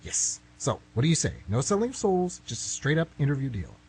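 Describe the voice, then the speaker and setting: A man's voice: mid-range voice, sing-song, fairly quick, a little mellow, a little hiss or hum, low-quality recording. A man playing a glib writer who bargains and protests, in an amateur radio comedy.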